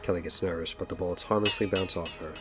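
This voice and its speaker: A man with a low voice reads scripted narration for an audio drama. Faint music plays under his storytelling. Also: fairly even intonation, quick pace.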